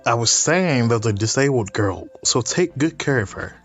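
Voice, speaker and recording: A man's voice: medium pitch, lively intonation, normal speed, a bit bright, faint music behind, natural voice. A man voicing a caring mother from a visual novel, matter-of-fact and advising. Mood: instructing. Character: caring, teasing mother on a phone call.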